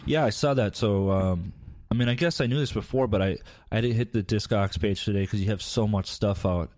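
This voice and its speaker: A man's voice: fairly low pitch, brisk. A man hosting a podcast, talking in a loose, chatty way as he interviews a guest. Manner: relaxed, loose and chatty with fillers. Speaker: podcast host. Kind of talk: casual interview chat.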